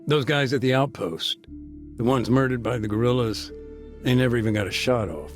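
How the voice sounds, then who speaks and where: A man's voice: medium pitch, close to an everyday voice. Man playing a weary, reflective aid worker over music. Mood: candid, reflective. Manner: measured, hesitant, reflective. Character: weary, earnest male humanitarian aid worker recounting a war. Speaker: humanitarian aid worker being interviewed. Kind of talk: naturalistic video game dialogue, interview recollection.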